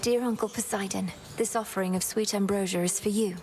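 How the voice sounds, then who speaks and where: A woman acting a determined young goddess in a video game, speaking in a formal, measured, earnest way. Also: medium pitch, fairly even intonation, music in the background, natural voice. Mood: earnest, reverent. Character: solemn, determined young goddess, vengeful niece.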